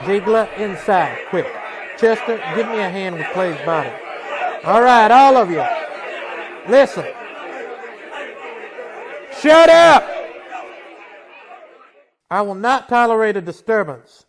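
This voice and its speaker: An actor playing a weary, commanding Old West marshal, terse and authoritative, in a western radio drama. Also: male voice, high-pitched, expressive, slow pace, long pauses, some chatter behind, full character voice.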